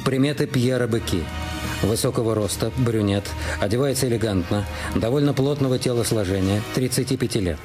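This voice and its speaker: A man's voice: medium pitch, fairly quick, music in the background. A man reading scripted narration for a radio detective drama. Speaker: radio play narrator and actor.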